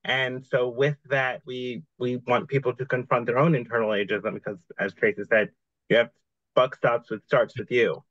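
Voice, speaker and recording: A man's voice: medium pitch, flat delivery, normal speed, fairly bright tone, no room sound, highs cut off. A young man presenting on a video call, describing things in a casual, informative way. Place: home room, indoors.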